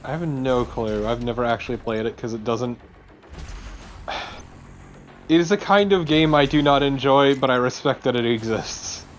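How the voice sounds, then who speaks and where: A man's voice: medium pitch, unhurried, soft background music. Wry man bantering over video game. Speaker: let's play co-commentator. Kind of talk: casual banter over gameplay.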